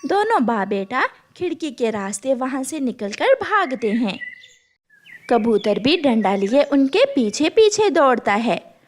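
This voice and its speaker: A woman narrates a children's story in an animated, slow delivery. Her voice is fairly high. A little noise is present in the recording.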